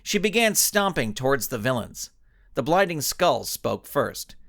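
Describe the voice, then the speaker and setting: Animated male storyteller. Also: medium pitch, measured pace, vocal fry. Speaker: author reading own story. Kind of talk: animated scripted audiobook narration.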